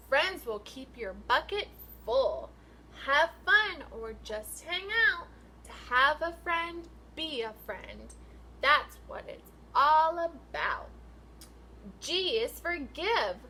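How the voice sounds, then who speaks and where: A woman's voice: high voice, very animated, slow pace, bright, forceful tone, slight background noise. A woman teacher reading a picture book aloud to young children in an instructional way, with questions and prompts.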